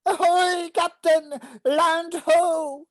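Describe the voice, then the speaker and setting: A woman voicing an excitable, earnest young bug child who plays pirates, in an animation table read. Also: very high voice, extremely slow, no echo, clean studio sound, exaggerated voice. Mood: excited.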